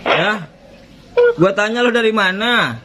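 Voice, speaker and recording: A man's voice: high-pitched, unhurried. A man defiantly taunting and mocking a debt collector during a heated phone argument. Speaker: borrower confronting a debt collector. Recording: phone call recorded with noisy background.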